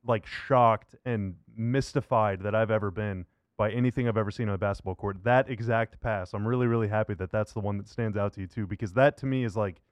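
A man's voice: on the low side, a little fast, few pauses, no room sound. A man hosting a sports interview in a casual, conversational and enthusiastic way. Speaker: podcast host.

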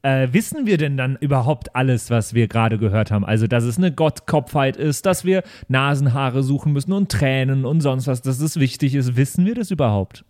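A man's voice: medium pitch, expressive, a little fast, few pauses, a little mellow, dry recording, studio quality. A man chatting casually as a podcast host and role-play player. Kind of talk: casual group discussion of a role-play campaign.

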